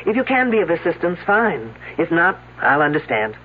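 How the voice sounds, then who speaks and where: Sarcastic detective, high voice, tinny radio sound. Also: male voice, big pitch swings, moderate pace, a little hiss or hum. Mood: dry. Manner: dry sarcastic wit. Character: dry, sardonic male detective. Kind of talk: 1970s radio drama acting. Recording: tinny old radio broadcast.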